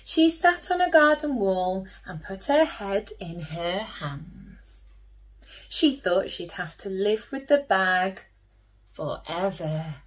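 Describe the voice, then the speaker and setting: A woman reading aloud expressively to young children as a storyteller, gently putting questions to her listeners. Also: medium pitch, big pitch swings, slow pace.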